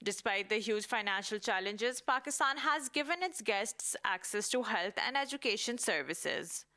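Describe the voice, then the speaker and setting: A woman correspondent narrating a scripted news report in a measured voice-over. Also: mid-range voice, lively intonation, bright, forceful tone.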